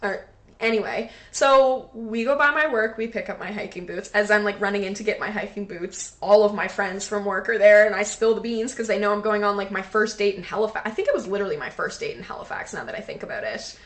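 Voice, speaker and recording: A young woman with a fairly low, unfussy voice tells a dating story on a podcast. She swears freely and bursts into quoted voices. Her pitch sits in the middle for a woman. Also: fast, fairly bright tone. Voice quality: lower-pitched, not high voice. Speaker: podcast co-host. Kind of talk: casual storytelling chat.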